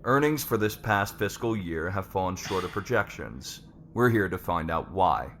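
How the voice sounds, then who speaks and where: Man acting stern, low-voiced board lord. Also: animated, a bit bright, a little hiss or hum. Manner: measured, firm, matter-of-fact. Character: stern, businesslike lord and board member, a father. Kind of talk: ASMR roleplay acting.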